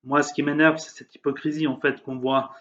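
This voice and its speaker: A man's voice: fairly high pitch, level tone, a little fast, fairly bright tone, highs cut off. Heated man ranting in a monologue. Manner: emphatic, heated, hesitant with many fillers. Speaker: vlogger. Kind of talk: opinion monologue on current events.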